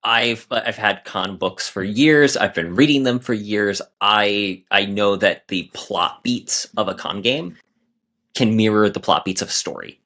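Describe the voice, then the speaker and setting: A man's voice: on the low side, a little slow, pushed and bright. A man talking casually as a guest author in a podcast interview about his writing.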